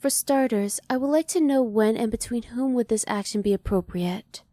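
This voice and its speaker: A woman voices a polite, analytical alien woman with a fairly high, mellow voice. She is soft-spoken and emotionally reserved, choosing formal words with measured curiosity. The sound is close and dry, in the style of an ASMR roleplay. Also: no echo, close to an everyday voice. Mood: curious, reserved. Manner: formal, measured, precise diction.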